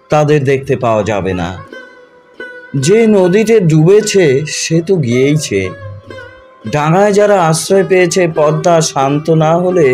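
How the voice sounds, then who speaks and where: A man reads a literary story in a measured, expressive, dramatic narration, drawing out his phrases. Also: a little high. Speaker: audiobook narrator. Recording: music behind the voice.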